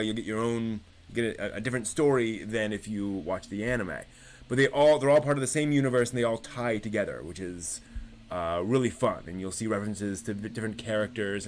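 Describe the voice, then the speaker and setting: A man hosting a live stream, talking casually to viewers in a rambling, explanatory way. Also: mid-range voice. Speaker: host of an anime live stream. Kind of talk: casual review and demonstration to viewers.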